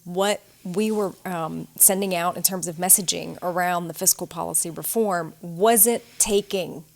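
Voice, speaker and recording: A woman in her forties with a slight Southern American twang, answering interview questions in a thoughtful, measured way. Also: on the low side, vocal fry. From United States. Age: 40s. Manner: thoughtful, measured, with some fillers. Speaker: guest, author.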